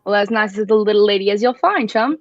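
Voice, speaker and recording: A woman's voice: medium pitch, animated, fast talker, dull audio, soft background music, natural voice. A woman playing a friendly, gossipy pub keeper, slangy and chatty, in a radio drama. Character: friendly, gossipy working-class pub keeper, former carriage driver. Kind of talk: 1940s radio drama acting.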